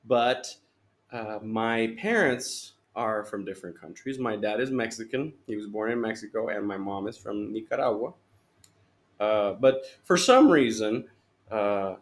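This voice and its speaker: Man, youth pastor, slow halting delivery, low-ish voice, podcast guest. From Honduras. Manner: halting, many fillers and restarts, chuckling. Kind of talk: casual podcast interview.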